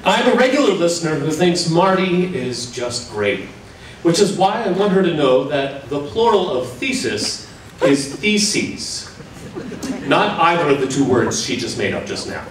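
A middle-aged man reads aloud with pompous over-enunciation, his pitch jumping widely for comic effect. He is heard from a distance through a roomy stage echo. Loud room noise sits under him the whole time. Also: big pitch swings, measured pace. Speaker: stage performer reading letters. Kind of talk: dramatic reading of letters on stage. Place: large event studio stage with audience. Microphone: stand mic, recorded from far camera.

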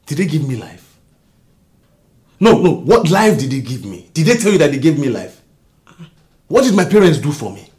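An actor with a Nigerian accent playing a bitter self-made husband who rants at his wife about his upbringing, swinging from low mutters to shouts. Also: sing-song, normal speed. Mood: angry. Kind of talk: melodrama acting.

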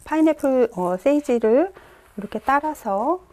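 A middle-aged woman giving a calm flower arranging lesson in a studio, pausing often as she works. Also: sits high. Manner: calm instructive, many "eo" pauses while working. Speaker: floral design instructor. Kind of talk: step-by-step demonstration lesson. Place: bright indoor studio.

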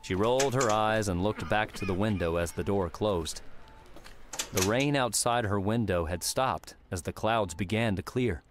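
A man's voice: on the low side, a little slow, background noise. A man narrating a scripted story as an audiobook narrator.

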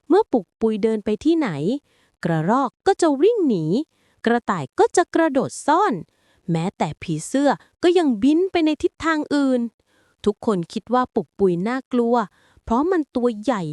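A woman reading a bedtime story aloud in a slow, soothing way. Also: on the high side, a little mellow, dry recording, clean studio sound.

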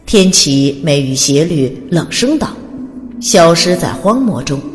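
A woman's voice: low voice, lively intonation, a little slow. A woman reading scripted story narration in a dramatic audiobook style. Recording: long reverb, faint background noise.

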